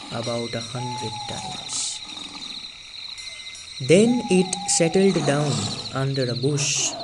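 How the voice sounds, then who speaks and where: A man reading a story aloud, slow and deliberate, sinking lower for the tense moments. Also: noisy background. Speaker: story reader. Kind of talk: storybook read-aloud narration.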